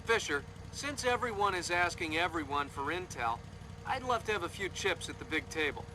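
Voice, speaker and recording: An actor playing an easygoing, keen intelligence colleague in a video game, informal yet businesslike. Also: male voice, high voice, very animated, moderate pace, background noise. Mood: casual, keen.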